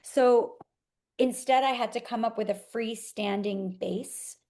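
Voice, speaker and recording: A woman's voice: medium pitch, a little mellow. Thoughtful, articulate woman artist in interview. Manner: thoughtful, articulate, explaining in measured detail. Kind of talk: reflective interview answer.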